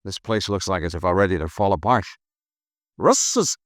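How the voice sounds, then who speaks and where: A man's voice: deep voice, lively intonation, normal speed, dry recording. An actor playing a cool, dry male insurance investigator in a 1950s radio drama, asking terse, clipped questions. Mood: tense.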